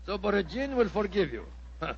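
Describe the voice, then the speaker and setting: An actor playing a pompous, boisterous Russian imperial envoy in a 1940s radio drama, speaking broken English in a thick Russian accent. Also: male voice, high-pitched, big pitch swings, a little fast, gravelly, full character voice. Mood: pompous, imperious. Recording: muffled 1940s radio recording.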